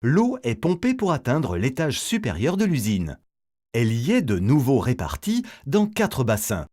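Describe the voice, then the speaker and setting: A male narrator delivers a scripted educational voice-over at a medium pitch. His intonation is highly animated, rising and falling widely as he explains each step in a didactic way. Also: unhurried.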